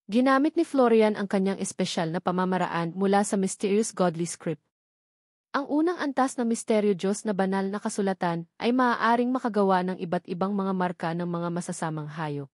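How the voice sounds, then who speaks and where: A woman's voice: medium pitch, darkish tone, no room sound, studio quality. A woman reading scripted story narration in the manner of an audiobook. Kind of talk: scripted audiobook-style narration of a web novel.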